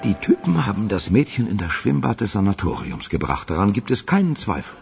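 An actor in a radio play playing a sober, dutiful investigator, gravelly and husky, reporting facts. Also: male voice, lowish voice, very animated, brisk, a little mellow, soft background music. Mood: sober, factual. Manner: factual reporting.

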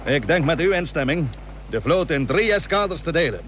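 Animated, high-voiced naval commander. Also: male voice, moderate pace. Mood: earnest, resolute. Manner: earnest, commanding, solemn, archaic formal wording. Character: resolute Dutch naval commander rallying his captains, 17th century. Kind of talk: Dutch radio play acting. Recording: noisy old radio drama recording.

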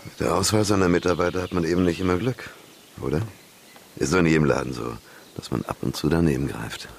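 An actor playing a slick, self-assured red-light boss in a German radio drama, jovial and expansive with menace underneath. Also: male voice, low voice, fast talker, gravelly, slight background noise. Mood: amused, dismissive.

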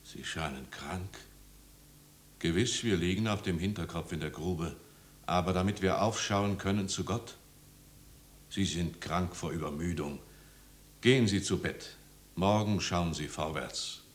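Low-voiced, fatherly village pastor. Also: a little slow, long pauses. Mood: firm, encouraging. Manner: fatherly, earnest, exhorting. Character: kindly, steadfast village pastor, fatherly and reassuring. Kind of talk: 1950s German radio drama acting. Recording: old radio recording with hiss and hum.

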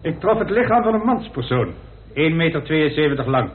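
High-pitched, clinical male pathologist. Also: sing-song, fairly quick. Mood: clinical, assured. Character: matter-of-fact male pathologist, a doctor giving testimony. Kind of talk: radio drama acting, formal testimony. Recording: tinny band-limited old radio recording.

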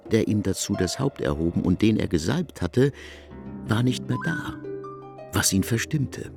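A man narrating a literary work for radio in a measured, formal storytelling manner. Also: mid-range voice, creaky. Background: faint music.

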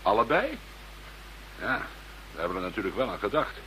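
An actor playing an unflappable chief police inspector in a radio play, dry, measured and authoritative. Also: male voice, lowish voice, huge pitch swings, a bit bright. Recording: slightly noisy radio drama recording.

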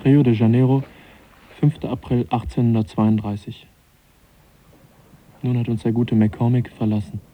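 An actor playing a modest, sceptical young English naturalist in a German radio drama, speaking in a reflective, politely questioning way. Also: male voice, mid-range voice, flat delivery, moderate pace, long pauses, lightly acted. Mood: reflective, regretful. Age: young adult. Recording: dull, faintly noisy radio drama recording.

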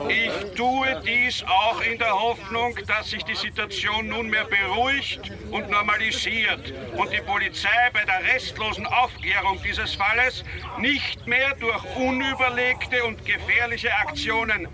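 An actor playing a formal police inspector, addressing a crowd in stiff official phrasing in a radio drama. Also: male voice, high voice, fairly even intonation, measured pace. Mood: formal, measured. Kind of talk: German radio drama acting.

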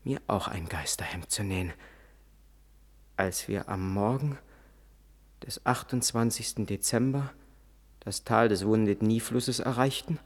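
An actor playing a proud, solemn Lakota warrior father who recounts the past in a German radio play, in measured storytelling. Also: mid-range voice, darkish tone. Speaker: narrator and lead character.

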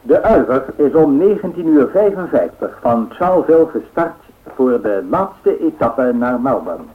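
An actor playing a 1930s radio newsreader, reading bulletins in a formal, measured way in a radio drama. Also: male voice, mid-range voice, big pitch swings, a little hiss or hum. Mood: formal, factual. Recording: tinny, narrow-band radio sound.

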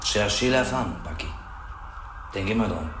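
An actor playing a cocky, provocative, self-assured police boxer in a crime radio drama. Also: male voice, on the low side, expressive, moderate pace, close to an everyday voice. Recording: echoey room, clear background noise.

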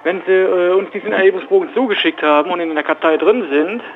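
Telephone-filtered male recruiter, quick and high-pitched, explaining procedures in a businesslike, factual way. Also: slight background noise. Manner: matter-of-fact, procedural. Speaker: study recruiter answering the phone. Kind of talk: explanatory business phone call. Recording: thin band-limited phone line.

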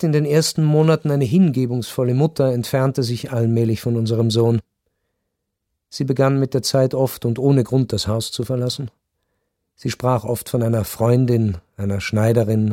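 An actor playing a melancholy, resigned gentleman narrator in a literary radio drama, measured and reflective. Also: mid-range voice, steady tone, no room sound, studio quality, close to an everyday voice. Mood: wistful, resigned.

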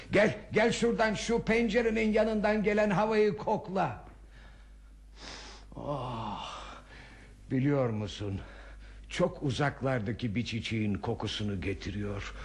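Dreamy stubborn old husband in a radio play, kinda husky voice, nostalgic and wistful. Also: male voice, medium pitch, animated, unhurried. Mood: dreamy, delighted. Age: older. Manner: wistful, lingering over descriptions. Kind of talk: Turkish radio theatre acting.

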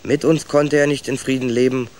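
A man's voice: medium pitch, steady tone, brisk, a little mellow, close to an everyday voice. A man playing a reflective, wry rat who narrates his clan's voyage in a children's radio play. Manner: thoughtful, wry storyteller.

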